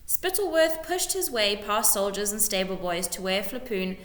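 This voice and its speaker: A woman reading a storybook aloud in expressive scripted narration. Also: mid-range voice, moderate pace. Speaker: storybook reader. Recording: echoey room with long reverb.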